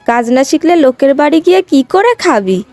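A woman's voice: high-pitched, sing-song, fast talker, darkish tone, no room sound, faint music behind. A woman voicing a stern, emphatic mother of a grown daughter in a cartoon tale. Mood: exasperated, scolding. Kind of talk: cartoon moral-tale voice acting.

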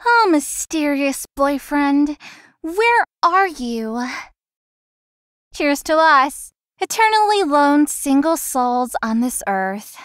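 A woman playing a gentle, dutiful young daughter in a visual novel. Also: high voice, very animated, takes it slowly, no echo, studio quality, heavily acted. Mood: playful. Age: young adult.

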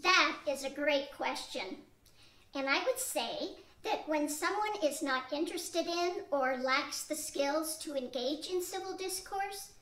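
A woman's voice: sits high, fairly bright tone. A woman playing Marge, an earnest older wife. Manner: reads steadily from a script. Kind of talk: amateur radio-play reading. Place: studio room with a cloth backdrop. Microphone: stand mic on a tripod. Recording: echoey room.

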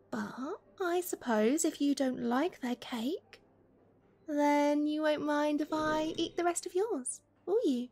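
A woman playing a playful, affectionate young best friend in a gentle, teasing ASMR roleplay. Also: high voice, slow pace, pauses often. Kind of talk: gentle ASMR roleplay, one-sided conversation.

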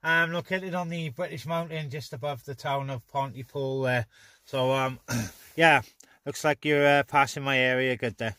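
A man's voice: medium pitch, fairly even intonation, normal speed, sharp tone, no room sound. A man chatting casually as he runs a CB radio net from inside a car. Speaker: CB radio operator hosting a net.

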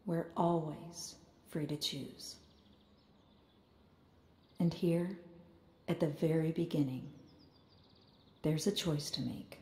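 A calm ink-born young narrator. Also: female voice, low-pitched, slow pace, pauses often, roomy sound. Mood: reflective. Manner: calm, reflective. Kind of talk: scripted narration.

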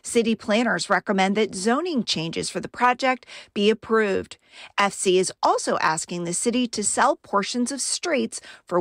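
Crisp woman news reporter, lively pitch. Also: no echo, studio quality. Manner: crisp, measured news delivery. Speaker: investigative reporter. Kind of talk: news package narration. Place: outdoors beside a parking garage.

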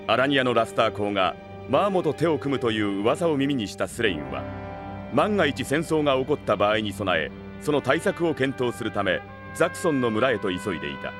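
A man narrating a Japanese fantasy audio drama in a formal, measured storytelling manner. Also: medium pitch, sing-song, natural voice. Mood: solemn. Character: composed male storyteller of a fantasy saga. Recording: music behind the voice.

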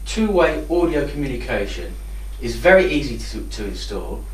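A man's voice: mid-range voice, sing-song, normal speed. A man giving an instructional product demonstration. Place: indoor room with hard walls. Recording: roomy echo, distant microphone.

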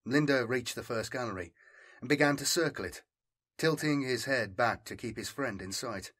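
A man's voice: medium pitch, normal speed, fairly bright tone. A man reading scripted fiction as an audiobook narrator.

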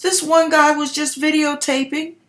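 A woman's voice: high-pitched, little pitch movement, moderate pace, a bit bright. A middle-aged woman telling stories in a theatrical, emphatic way, as an online host doing dramatic readings and commentary in her kitchen. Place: home kitchen, indoors. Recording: echoey kitchen room sound.

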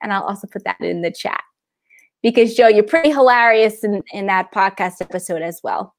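A woman's voice: mid-range voice, a little fast. A woman in her thirties hosting an online event in an upbeat style, speaking on a video call. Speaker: host of a library event. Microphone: wired earbuds on a video call.

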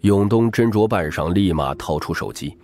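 A man's voice: sits low, lively intonation, normal speed, a little mellow, faint music behind. A man reading a novel aloud as a scripted audiobook narrator.

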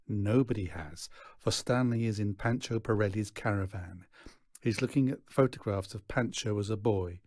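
A man's voice: on the low side, a little mellow, no room sound, studio quality. A man reading a children's novel aloud as scripted narration. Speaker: story reader.

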